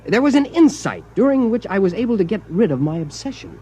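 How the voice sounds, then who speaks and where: A man's voice: high voice, big pitch swings, quick pace, mellow, husky, exaggerated voice. An actor playing a mild-mannered man with a vengeful, obsessive madness in 1950s radio drama, speaking politely and theatrically. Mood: polite, calm. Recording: noisy vintage 1950s radio broadcast.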